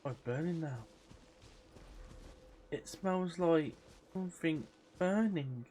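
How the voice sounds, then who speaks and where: A man's voice: on the high side, slow pace, a little mellow, slight background noise. A man giving casual gameplay commentary in short, muttered reactions. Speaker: gamer reacting to a playthrough.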